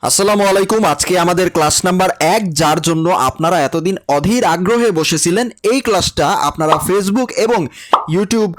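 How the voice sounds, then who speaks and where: A man's voice: sits high, normal speed. A man with a Bangladeshi accent teaching a tutorial in a chatty, direct way, repeating instructions for emphasis. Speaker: animation teacher. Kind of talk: tutorial lesson with casual direct address.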